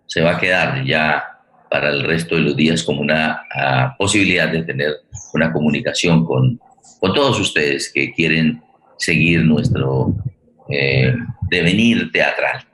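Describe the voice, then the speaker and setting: A man with a Colombian accent hosting a streamed program, speaking formally in halting, wordy, deliberate phrases. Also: a bass voice, pushed and bright. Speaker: host of a streamed theatre program. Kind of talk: formal spoken introduction. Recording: roomy echo on the voice.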